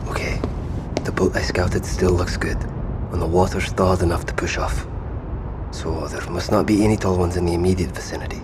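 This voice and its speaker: An actor playing a steady, protective survivor in an audio drama, speaking plainly and reassuringly, often hushed. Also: male voice, low-pitched, brisk, background noise, close to an everyday voice. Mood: watchful calm. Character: steady protective male survivor leading companions to a boat.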